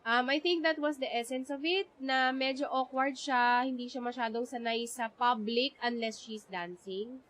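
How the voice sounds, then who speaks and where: A woman with a Filipino accent giving casual live game commentary, halting as she thinks aloud. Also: high voice, a bit bright. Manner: thinking aloud, halting, switching between English and Tagalog. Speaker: game streamer. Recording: game music underneath.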